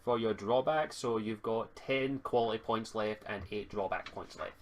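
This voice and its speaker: A man acting as game master, casually explaining tabletop game rules and reading items haltingly from a rulebook. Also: fairly low pitch.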